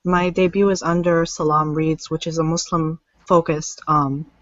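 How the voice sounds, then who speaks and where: A woman's voice: low-pitched, flat delivery, dry recording. Chatty woman on a dull, dry call. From United States. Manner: chatty, filler-heavy, quick self-corrections. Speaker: debut author on a panel. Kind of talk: casual panel discussion. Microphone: video call. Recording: dull lo-fi online call audio.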